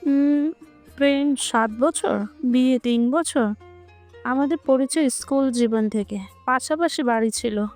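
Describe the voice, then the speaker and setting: A woman's voice: high-pitched, slow pace, darkish tone, soft background music, pitched above the performer's own voice. A woman voicing a playful, chatty, bossy young woman who acts like a big sister in a romantic audio story. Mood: chatty, confiding.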